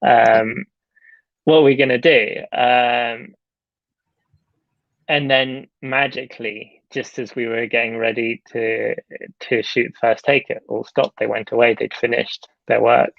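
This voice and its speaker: A man's voice: mid-range voice, flat delivery, a little slow, vocal fry, no room sound, dull audio. A young man, a film director, answering interview questions in a casual, hesitant way, with lots of fillers and restarts, over a video call.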